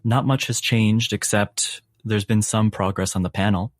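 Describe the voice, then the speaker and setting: A man narrating a tabletop role-playing game as game master, in a measured way with dramatic pauses. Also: lowish voice, steady tone, no room sound, studio quality. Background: faint music.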